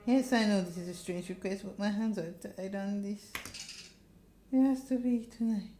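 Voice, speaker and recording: A woman's voice: mid-range voice, normal speed, dark tone, echoey room, faint music behind, pitched above the performer's own voice, close to an everyday voice. Woman acting out an anxious, desperate young-lady client. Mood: pleading. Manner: urgent, emotional pleading. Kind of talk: visual novel character read-aloud.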